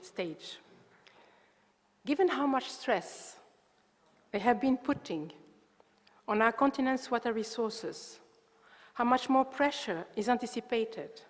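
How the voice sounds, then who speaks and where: An older woman gives a formal prepared conference speech, earnest and measured, delivered phrase by phrase. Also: on the high side. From Tunisia. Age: 50s or older. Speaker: water agency coordinator, conference speaker. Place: large indoor conference hall. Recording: reverberant hall, long echo.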